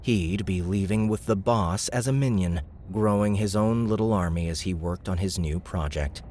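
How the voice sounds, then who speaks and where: Very deep-voiced male audiobook narrator. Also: normal speed, darkish tone, slight background noise.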